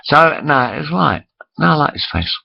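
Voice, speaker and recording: A man's voice: fairly high pitch, sing-song, moderate pace, gravelly, dull audio. A man in his forties rambling chattily to his webcam, interrupting himself often. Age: 40s. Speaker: vlogger. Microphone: built-in computer camera mic.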